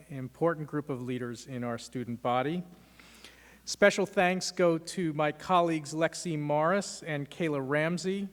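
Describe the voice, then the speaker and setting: A man hosting a law school lecture, giving measured welcoming remarks and thanks, with frequent "uh" fillers. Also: on the high side. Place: lecture hall. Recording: long hall reverb.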